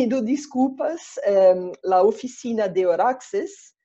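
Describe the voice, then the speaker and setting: A woman with a Brazilian Portuguese accent, a native Portuguese speaker, giving an online presentation slowly and carefully, with hesitations, over a video call. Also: mid-range voice, animated, warm tone. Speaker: webinar presenter. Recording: dull lo-fi video call audio.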